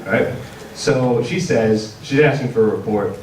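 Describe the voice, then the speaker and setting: A man's voice: mid-range voice, measured pace. Man, convention presenter, hesitant informal delivery, echoey room, slight background noise. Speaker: panel presenter for a game publisher. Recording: distant mic in echoey, noisy room.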